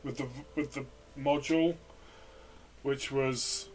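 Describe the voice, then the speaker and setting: Mid-pitched man, casual review co-host. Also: fairly even intonation, slow pace, a little mellow.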